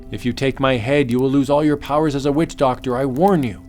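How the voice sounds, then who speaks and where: A man voicing an excitable, determined explorer anthropologist in a melodramatic comic book audiobook. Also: medium pitch, a little fast, faint music behind, close to an everyday voice. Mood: earnest.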